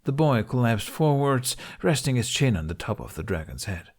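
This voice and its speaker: A man reading a fantasy story as an audiobook narrator, in an expressive scripted style. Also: medium pitch, moderate pace.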